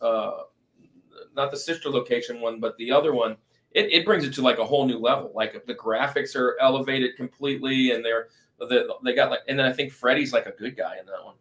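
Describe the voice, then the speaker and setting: A man's voice: mid-range voice, fairly quick, fairly bright tone. A man hosting a podcast in casual, informal chat with an enthusiastic manner. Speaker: podcast host.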